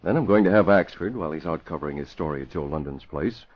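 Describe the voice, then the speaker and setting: An actor playing a composed, thoughtful crime fighter, measured and deliberate, in an old-time radio drama. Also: male voice, rumbling low, animated. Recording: muffled vintage radio recording.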